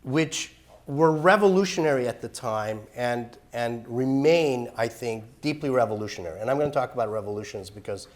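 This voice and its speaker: A man's voice: medium pitch, lively intonation, moderate pace. A middle-aged man from Iran, executive director of a human rights group, giving an earnest, explanatory talk at a live public forum.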